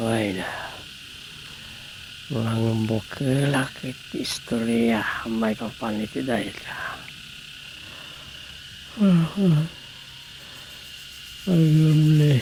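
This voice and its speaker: Dreamy laid-back husband, Filipino accent, unhurried drawl, comic radio drama, noticeable background noise. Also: male voice, mid-range voice, very animated. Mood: drowsy musing.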